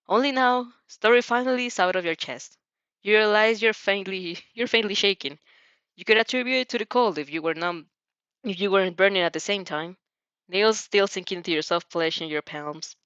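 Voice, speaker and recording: A woman's voice: medium pitch, a bit bright, smooth, dry recording, highs cut off. A woman narrating fan fiction with casual reaction asides, sometimes stumbling and rereading phrases.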